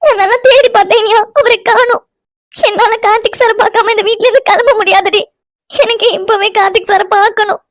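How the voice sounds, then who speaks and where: A woman's voice: very high-pitched, fairly even intonation, brisk. A woman playing a distraught, lovesick young woman in an audio melodrama, crying and whining insistently. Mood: tearful, desperate. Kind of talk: melodramatic audio drama dialogue.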